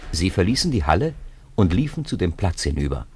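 A man narrating a 1950s radio play in an expressive storytelling manner. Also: deep voice, a little fast, breathy edge. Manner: expressive storytelling with lively intonation.

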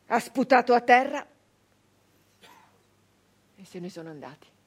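An actress playing an anguished, defiant 19th-century Italian peasant brigand woman in a theatrical monologue, with emphatic repetitions and dramatic pauses. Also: a little high, lively intonation, slightly rough. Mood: desolate. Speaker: stage actress performing a monologue.

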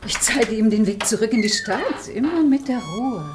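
An actress playing a cool, understated police chief's wife in a noir radio drama. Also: female voice, a little high, animated, moderate pace, very noisy, lightly acted. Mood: quiet, intimate.

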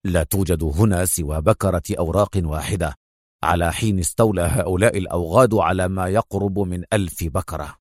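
Man voicing a stern, deep-voiced wounded hero. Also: animated, a little fast, no room sound, studio quality. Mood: angry. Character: stern wounded male hero, resolute, curt, angry.

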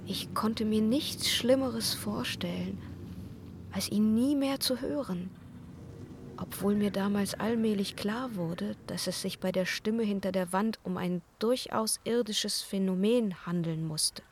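Spellbound young soprano woman acting, intimate and breathy, mid-range voice, fragile and yearning, German radio play. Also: medium pitch, a little slow, slight background noise, close to an everyday voice. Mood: obsessed, yearning. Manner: breathy, intimate, slipping into hushed whispers. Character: young opera singer, impressionable, enraptured, torn and fearful.

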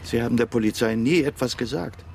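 An actor in a radio crime drama playing a concerned, inquisitive man with a gravelly voice. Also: medium pitch, a little fast, a little hiss or hum, natural voice. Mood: probing, sympathetic. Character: concerned, inquisitive male acquaintance questioning a couple.

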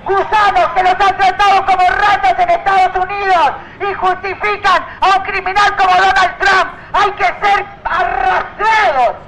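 An indignant woman from Venezuela speaks out as a street protester in an extremely high voice that stays on one level. A very loud crowd and heavy noise surround her outdoors. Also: fairly even intonation, moderate pace, pauses often. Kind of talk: impassioned street protest speech. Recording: very noisy outdoor crowd recording.

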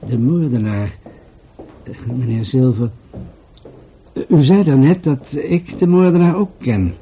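Casting: a thoughtful older commissioner, soft-edged voice, measured and polite, radio drama with slight background noise. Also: male voice, mid-range voice, lively intonation. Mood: grave, knowing. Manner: measured, musing, hesitant phrasing. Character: musing, courteous older male police commissioner with rheumatism. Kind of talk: radio play acting. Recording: noisy radio play recording with clicks.

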